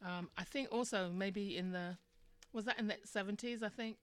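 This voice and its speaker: A woman's voice: mid-range voice, moderate pace, fairly bright tone. A woman speaks as the host of a live radio talk show. Her mid-range voice is conversational and opinionated, often turning to listeners with questions. The sound is dry, with very little room echo.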